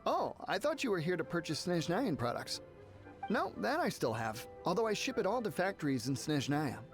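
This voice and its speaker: A man's voice: high-pitched, very animated, normal speed, soft background music. An actor voicing a polite, businesslike male foreign trader in a game. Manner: polite, flustered when pressed. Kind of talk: game voice acting.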